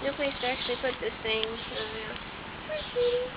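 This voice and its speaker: A woman's voice: fairly high pitch, big pitch swings, normal speed. A teenage girl vlogging in a horse stable, cooing to the horses in a whiny baby-talk voice, filmed on a handheld camera. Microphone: handheld camera close up. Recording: muffled lo-fi camera audio with loud noise.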